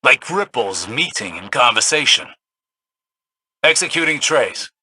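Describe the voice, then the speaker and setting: An actor playing a calm, grave, scholarly mission advisor in a video game, speaking in measured, formal and cryptic phrases. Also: male voice, mid-range voice, husky, full character voice, low-quality recording. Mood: grave, intent. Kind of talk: video game dramatic voice acting.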